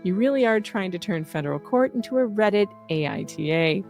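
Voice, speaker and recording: A woman's voice: mid-range voice, animated, a little fast, a little mellow, soft background music. A woman reading out a scripted satirical roast as an online host, with a sarcastic, punchy, emphatic delivery.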